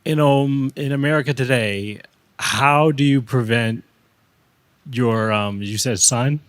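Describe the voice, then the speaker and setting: Very slow, hesitant man. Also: mid-range voice, fairly bright tone. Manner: hesitant, tentative. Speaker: audience member asking a question. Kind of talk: spontaneous audience question.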